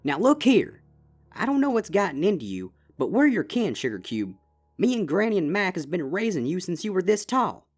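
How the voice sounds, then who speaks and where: A man's voice: sits high, very animated, normal speed, voice pushed up. A man voicing a kind but stern big-sister farm mare with a folksy Southern drawl.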